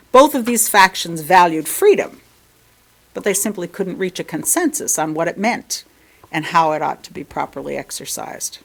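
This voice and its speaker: Low-pitched woman reading a sermon. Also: gravelly. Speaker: lay reader delivering a sermon at a church. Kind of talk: read-aloud sermon with brief asides.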